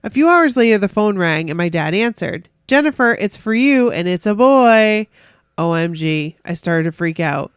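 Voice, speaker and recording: A woman's voice: mid-range voice, normal speed, smooth, dry recording. Chatty, giggly woman, close dry sound. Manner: giggly, gushing, reacts excitedly. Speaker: podcast co-host. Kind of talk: casual chat, reading listener stories aloud.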